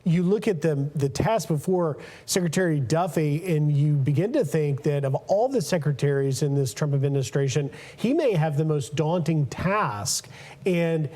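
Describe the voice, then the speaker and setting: A man's voice: on the high side, normal speed, dark tone. A man in his fifties with an American accent, anchoring live television news and interviews. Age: 50s.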